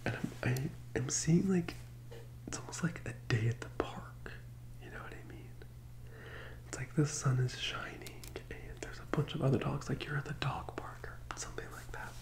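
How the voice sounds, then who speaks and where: A man doing a soft-spoken ASMR role-play as a gentle psychic medium, in a breathy, hesitant whisper close to the microphone. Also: mid-range voice, moderate pace. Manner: hesitant, gentle, soothing delivery.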